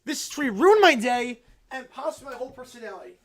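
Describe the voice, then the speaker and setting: A man voicing a group of entitled, whining cartoon kids who complain dramatically and shout. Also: very high voice, big pitch swings, fairly quick, exaggerated voice. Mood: whiny.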